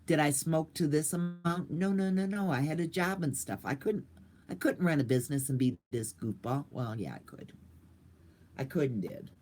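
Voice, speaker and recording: A woman's voice: sits low, animated, normal speed, a little mellow, a little hiss or hum. An older woman vlogging in a casual, rambling and goofy way, chatting to viewers. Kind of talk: casual livestream chat.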